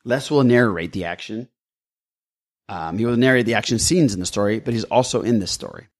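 A man's voice: fairly low pitch, a little fast. A man hosting a podcast in a casual, jokey way, often repeating his phrases. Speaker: podcast host.

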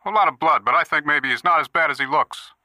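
Blunt male informant, read by a male narrator: matter-of-fact, raised pitch, cutting tone. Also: fast talker, pushed and bright, dry recording. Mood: assured. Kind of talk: audiobook character voice.

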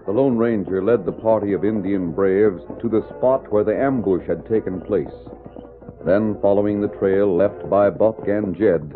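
A man's voice: on the low side, normal speed, lightly acted. A man narrating a scripted 1940s radio western drama. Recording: dull old radio broadcast. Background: music.

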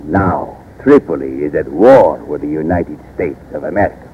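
An actor playing a haughty, gloating ruler of a pirate state in 1940s radio style, speaking pompously and deliberately. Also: male voice, a bass voice, animated. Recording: noisy, dull old radio recording.

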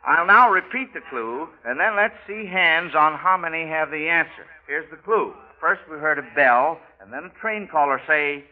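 A man's voice: on the high side, animated, moderate pace, few pauses. An American man hosting a 1940s radio quiz show, chatty and encouraging toward the children taking part. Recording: old narrow-band radio recording.

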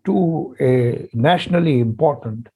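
Emphatic man, dark husky voice. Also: mid-range voice, unhurried. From India. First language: Hindi. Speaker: panel guest. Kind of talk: panel discussion.

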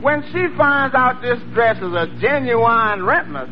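Very high-voiced, slow, teasing male waiter, sly and folksy, muffled 1940s radio comedy. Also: expressive, noisy background. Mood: teasing doubt. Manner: drawling, teasing, folksy grammar. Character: sly, sceptical waiter, a man, drily teasing his boss. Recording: muffled vintage radio recording, loud music.

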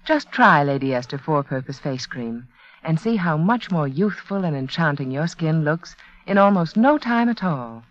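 A woman reads a 1940s radio commercial as a beauty authority. She is emphatic and instructive, with a rather low, highly expressive voice on a muffled old recording. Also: moderate pace. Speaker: beauty authority, sponsor spokeswoman. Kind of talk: 1940s radio advertisement, scripted.

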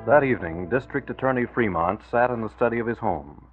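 A man's voice: medium pitch, lively intonation, brisk. A man narrating an old-time radio drama as its announcer, in a scripted 1940s style. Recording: muffled old radio recording, music behind. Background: music.

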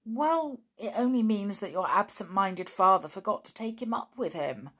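A woman voicing a sensible, bossy older boy in a storybook, brisk and authoritative but reassuring. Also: mid-range voice, big pitch swings, a bit bright, heavily acted. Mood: sensible, soothing.

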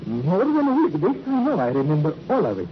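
Animated, emphatic Spanish-accented travel agent. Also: male voice, fairly high pitch. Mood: insistent. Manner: emphatic, protesting, halting foreign phrasing. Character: indignant, excitable male Latin American travel agent. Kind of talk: classic radio crime drama acting. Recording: dull old radio recording, faint noise.

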